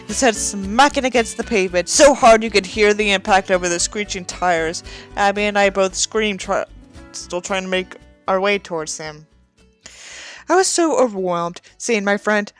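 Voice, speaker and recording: A woman reading a book aloud in a mocking, dramatic way, with incredulous, sweary asides. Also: mid-range voice. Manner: dramatic, incredulous, expressive reactions. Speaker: reader and commentator of a badly written book.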